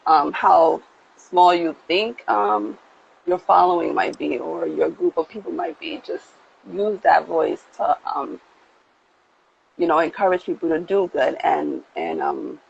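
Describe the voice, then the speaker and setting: A woman speaks over a livestream call, her voice heavily gravelly and husky. She talks earnestly, pausing often on fillers. The audio is muffled and lo-fi. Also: lowish voice. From United States. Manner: earnest, halting with many fillers. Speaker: model and activist, guest. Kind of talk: casual conversation on a livestream. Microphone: phone, video call.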